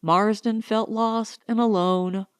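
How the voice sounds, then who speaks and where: A woman's voice: medium pitch, steady tone, slow pace, smooth. A woman narrating a story as a scripted audiobook.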